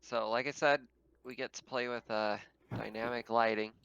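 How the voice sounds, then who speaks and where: A man's voice: medium pitch, fairly even intonation, moderate pace, creaky, a bit bright, dull audio, a little hiss or hum. A man acting as game master, casually explaining the rules and setting of a tabletop roleplaying game.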